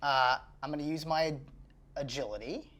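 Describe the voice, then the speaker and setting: A man playing a tabletop roleplaying game, chatting casually and hesitantly thinking his moves out loud. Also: fairly high pitch, moderate pace, a little hiss or hum. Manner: animated, hesitant while working things out.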